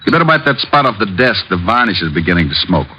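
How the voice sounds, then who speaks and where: Slangy american radio gumshoe, deep, swingy delivery, muffled old recording. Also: male voice, very animated, fast talker, sharp tone, a little hiss or hum. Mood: wisecracking. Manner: breezy, sardonic, slangy. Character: wisecracking, flirtatious hardboiled male private detective. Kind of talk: 1940s-50s radio drama acting with first-person narration.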